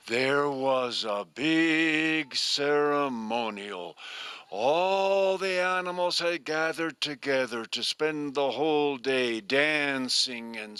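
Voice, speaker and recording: An older male storyteller tells a folktale to a live audience at a very slow, deliberate pace. His voice is bright and clear, with a smooth tone. The sound is dry and close. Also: medium pitch, no echo.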